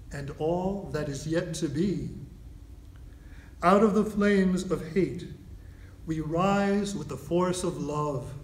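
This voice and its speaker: Slow, echoey man reading solemnly. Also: on the high side. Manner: measured, declamatory phrasing. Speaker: worship associate leading chalice lighting. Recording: echoey room.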